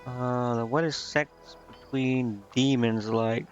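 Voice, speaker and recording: A man giving casual, informal let's-play commentary as a game streamer, talking in a halting way. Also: mid-range voice, steady tone. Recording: dull lo-fi mic, music behind. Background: game music.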